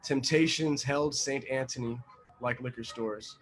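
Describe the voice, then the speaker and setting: A man's voice: medium pitch, a bit bright, soft background music. Man reading poetry on a video call. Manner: measured, rhythmic reading with pauses. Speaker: guest poet.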